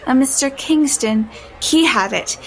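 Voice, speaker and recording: A wary young gothic heroine, with a high voice. She speaks politely and carefully, with some hesitation, as in an audio drama. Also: expressive, measured pace, low-quality recording. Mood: anxious questioning. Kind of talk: audio drama acting with narration.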